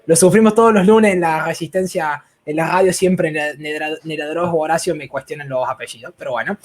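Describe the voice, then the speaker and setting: A man's voice: high-pitched, a little mellow. A man with an Argentine accent hosting a live interview in a rambling way, with fillers and restarts. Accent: Argentine Spanish. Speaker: host. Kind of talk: casual interview talk.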